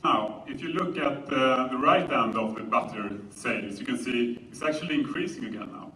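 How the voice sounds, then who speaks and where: A man with a Swedish accent giving a public lecture in a measured, explanatory manner. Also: medium pitch, sharp tone. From Sweden. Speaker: conference speaker. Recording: roomy, distant mic, faint noise.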